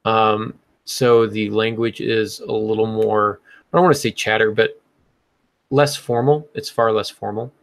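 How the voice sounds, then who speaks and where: A man talks in a casual panel discussion, with a noticeable gravelly fry in his voice. His pitch sits in an ordinary middle range. He explains as a podcast co-host would, thinking his way through each point out loud. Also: fairly even intonation, a little slow. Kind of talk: casual panel discussion about fiction writing.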